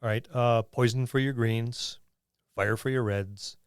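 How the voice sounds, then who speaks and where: A man with a medium-pitched voice co-hosts a gaming podcast. He talks in a loose, halting way, as if thinking aloud. The sound is close and dry, with no room around it. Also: fairly even intonation, smooth, dry recording. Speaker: podcast co-host. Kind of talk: casual chat about tabletop gaming.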